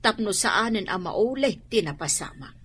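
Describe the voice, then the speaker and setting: A woman's voice: medium pitch, lively intonation, moderate pace, fairly bright tone, a little hiss or hum, lightly acted. A woman playing a firm, concerned older mother and grandmother in an Ilocano radio drama.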